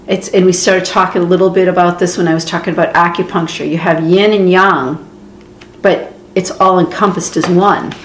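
A woman's voice: fairly low pitch, fairly even intonation, moderate pace. A woman from Boston with a Boston accent, a podcast co-host thinking aloud and asking questions. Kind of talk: spiritual discussion. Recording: roomy echo, faint noise.

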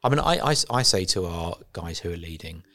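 A man hosts a conversational interview. His voice sits a little low and he talks fast. He keeps it informal, turning many statements into questions with short tags at the end. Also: expressive, a bit bright. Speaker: interviewer, church leader.

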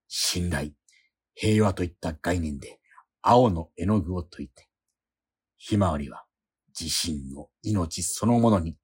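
An actor playing an obsessive, starving painter who narrates his own work in a radio drama with a slow, heavy inner monologue. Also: low voice, a little mellow, slightly rough. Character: obsessive, starving male painter, feverish and brooding. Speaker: struggling painter.

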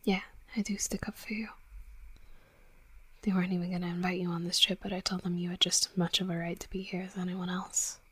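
A woman's voice: lowish voice, flat delivery, brisk, breathy edge. A woman voicing a tough young female werewolf bully in an intimate roleplay audio drama, brash and sweary but grudgingly tender. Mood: softened, reluctant.

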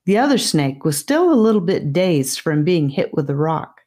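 A woman reading a fantasy story as an audiobook narrator, in a measured storybook manner. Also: sits low, sing-song, a little mellow.

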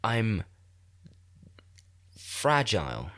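A man's voice: on the low side, sing-song, drawn-out words, a bit bright. A man in his twenties with a blocked, congested nose, rambling self-mockingly and swearing as he hosts a solo podcast from a room in a shared house. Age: 20s. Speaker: podcast host. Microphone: desk microphone.

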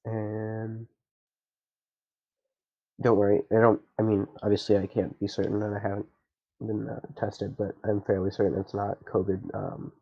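A man's voice: on the low side, level tone, moderate pace, gravelly, pauses often, breathy edge, dull audio. A young man with a stuffy nose, chatting casually and rambling with lots of fillers as a podcast host. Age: young adult. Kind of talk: casual solo chat.

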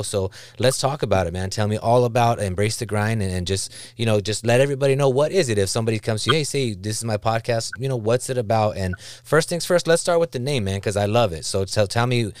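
A podcast host with a low male voice talks earnestly and casually, dropping "man" between his thoughts. The sound is close and dry, with no room around it. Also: clean tone, no echo. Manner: earnest, keeps saying "man".

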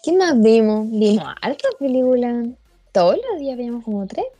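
A woman's voice: fairly high pitch, a little fast, no room sound. A woman with a Chilean accent, a podcast guest chatting casually and laughing easily. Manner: laughs easily, relaxed slang. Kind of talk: casual chat.

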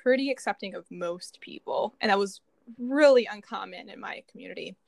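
A young woman speaks at a medium pitch in a dry, close recording. She co-hosts a podcast, talking in a casual and reflective way. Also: a little fast, creaky, a little mellow, no room sound. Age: young adult. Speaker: podcast co-host, doctoral student in psychology.

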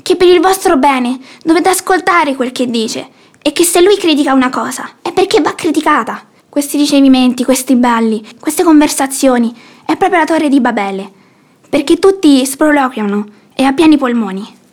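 Woman acting sanctimonious old mother-in-law, high voice, haughty, preachy, indignant, theatrical radio drama. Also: moderate pace, natural voice. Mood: scolding, outraged.

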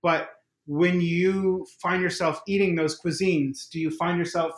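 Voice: mid-range voice, fairly even intonation, measured pace, roomy sound. A podcast host asking long, meandering questions in a casual interview.

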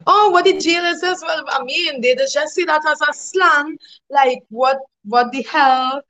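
A woman's voice: high-pitched, unhurried, fairly bright tone. A young woman with a Trinidadian accent, chatting as an interview guest, laughing often and filling her talk with "like" and "you know". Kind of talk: casual interview chat.

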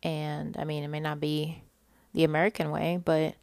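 A woman's voice: low-pitched, monotone, moderate pace, gravelly, darkish tone, no echo. A woman with a Puerto Rican accent in her thirties, candidly chatting through a personal podcast monologue and laughing often. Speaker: podcast host.

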